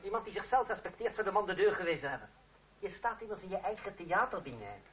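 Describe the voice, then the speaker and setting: An actor playing a cool, sardonic theatre player from revolutionary France in a muffled old Dutch radio play. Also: male voice, high-pitched, big pitch swings, fast talker, a little hiss or hum. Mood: coolly sarcastic. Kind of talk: radio drama acting in Dutch.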